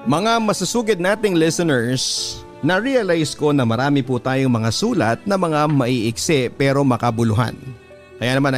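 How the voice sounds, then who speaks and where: Man, Filipino accent, mid-pitched voice, earnest letter narration, radio drama host, music bed. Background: background music.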